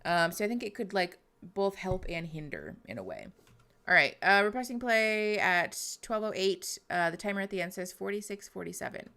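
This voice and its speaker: A woman's voice: medium pitch, expressive, moderate pace, gravelly, bright, forceful tone. A woman therapist giving live reaction commentary, with candid, wry asides and plenty of ums. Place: at home with a pet. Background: show audio playing.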